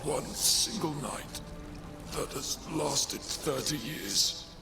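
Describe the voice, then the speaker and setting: An actor playing a weary, despairing old soldier in a game cutscene, speaking in slow, halting, solemn, breathy phrases. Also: male voice, a little high. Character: weary aged soldier, imprisoned thirty years, despairing. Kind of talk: dramatic video game cutscene acting. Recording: long reverb, loud music behind.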